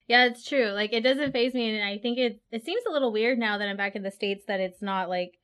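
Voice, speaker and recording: A woman's voice: fairly high pitch, a little fast, a bit bright. A woman hosting a podcast, chatting casually in a bubbly way with frequent laughter. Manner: bubbly, laughs often, many likes. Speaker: podcast host.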